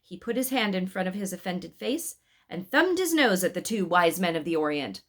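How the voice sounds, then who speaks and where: A woman reading a children's story aloud in an expressive style. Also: fairly low pitch, moderate pace.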